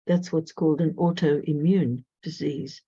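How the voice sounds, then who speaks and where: A woman speaking as an astrologer guest in an interview, thoughtful and measured. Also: sits low, steady tone, mellow, husky, dry recording. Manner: thoughtful, measured, with frequent "you know". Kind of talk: reflective conversational interview. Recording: dull lo-fi audio.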